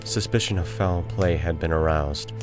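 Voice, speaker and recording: A man voicing a guilt-crazed murderer in a theatrical, deliberate dramatic reading of a gothic horror story. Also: sits low, music in the background, natural voice. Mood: smug, composed. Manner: theatrical, deliberate phrasing with drawn-out words. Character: guilt-crazed murderer, feverishly confident, unraveling into frantic confession.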